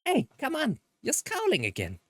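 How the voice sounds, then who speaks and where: A woman's voice: high voice, huge pitch swings, normal speed, a bit bright, no echo, studio quality, pitched above the performer's own voice, exaggerated voice. A woman voicing a breezy, cryptic and sinister young woman from a visual novel, teasing in a sing-song cheer. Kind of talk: visual novel character dubbing.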